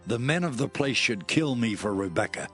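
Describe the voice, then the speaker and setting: A man's voice: mid-range voice, animated, normal speed, fairly bright tone, soft background music, lightly acted. A man playing an earnest, wary patriarch in a dramatized scripture reading, speaking with emphasis. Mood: fearful, defensive.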